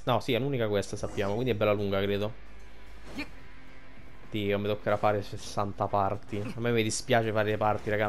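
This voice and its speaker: A man giving casual, colloquial game commentary, rambling and thinking aloud. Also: mid-range voice. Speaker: gameplay video creator. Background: game music.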